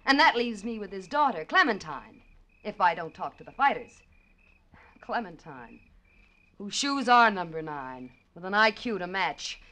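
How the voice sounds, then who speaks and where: Sharp, brassy, bitter wife. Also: female voice, medium pitch, big pitch swings, measured pace. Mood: bitter, sardonic. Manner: sharp, wisecracking, theatrical. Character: bitter, sardonic neglected wife, brassy and flirtatious. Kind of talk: 1940s radio drama acting.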